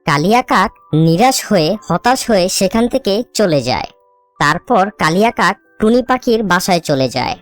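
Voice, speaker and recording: A woman narrates a children's cartoon tale from a script. Her voice sits at a middle pitch, with soft music playing underneath. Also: lively intonation, normal speed, no room sound.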